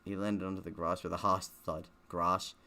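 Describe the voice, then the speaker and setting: A man's voice: deep voice, flat delivery, moderate pace, bright, forceful tone. A man who streams games, reading a visual novel aloud, stumbling a little and dropping in offhand, self-deprecating comments. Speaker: gaming streamer.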